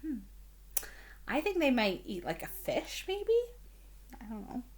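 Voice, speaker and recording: Slow, animated woman teacher. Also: on the high side. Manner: explains simply, asking the listener questions. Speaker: elementary school teacher. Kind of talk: read-aloud lesson for young children.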